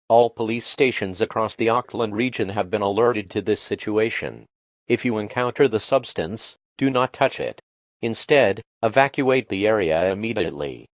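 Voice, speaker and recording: A man delivering a formal, official emergency broadcast in a deliberate, impersonal manner. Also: lowish voice, no echo, close to an everyday voice. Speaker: emergency alert announcer.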